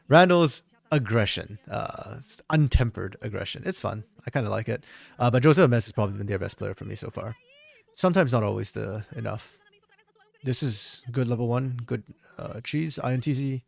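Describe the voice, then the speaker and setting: A man with a slightly hoarse voice, reviewing a match on his own in a casual, think-aloud way with frequent restarts. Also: mid-range voice, no echo. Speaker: esports caster doing a solo VOD review. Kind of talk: casual solo game analysis commentary.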